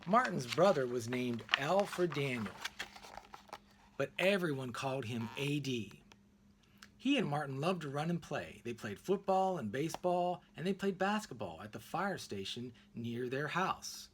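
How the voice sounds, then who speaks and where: A man reads a children's book aloud with a lively, swooping delivery. His voice sits at a middle pitch and he leaves emphatic pauses between phrases. A little background noise sits under the recording. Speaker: read-aloud narrator. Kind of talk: expressive children's picture book read-aloud.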